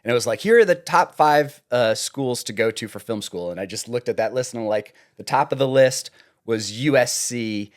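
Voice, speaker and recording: A man from suburban New York, a guest in a podcast interview, telling stories in a casual, lively way with deadpan teasing jokes. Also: mid-range voice, normal speed.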